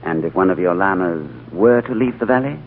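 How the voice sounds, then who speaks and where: An actor playing an earnest, awestruck traveler in a 1940s radio drama, with a dramatic, literary delivery. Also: male voice, low-pitched, lively intonation, a little fast, a little hiss or hum. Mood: wondering. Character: earnest, awestruck male traveler narrating his tale. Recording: tinny 1940s radio recording under music.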